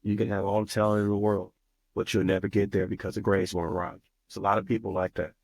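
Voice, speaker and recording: A man's voice: fairly low pitch, level tone, fast talker, darkish tone, slightly rough, no echo. A man hosting a family session, asking probing questions in a direct, coaching manner. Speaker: host counseling a family. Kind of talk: counseling talk.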